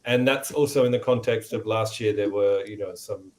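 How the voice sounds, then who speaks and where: A man co-hosting a relaxed conversation, asking questions in a conversational interviewing style. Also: on the low side, normal speed. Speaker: podcast co-host.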